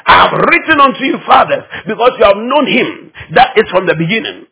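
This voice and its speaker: Ghanaian guy preaching, high pitched voice that swings up and down a lot, really emphatic. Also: very animated, brisk, gravelly, pushed and bright, breathy edge, rough recording. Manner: emphatic, repeats phrases for effect. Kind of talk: teaching sermon.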